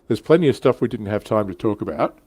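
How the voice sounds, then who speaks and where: A man's voice: on the low side, lively intonation, quick pace, a little mellow, breathy edge, dry recording. A man hosting a casual hobby livestream panel, thinking aloud in a hesitant, self-deprecating way. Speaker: panel show host.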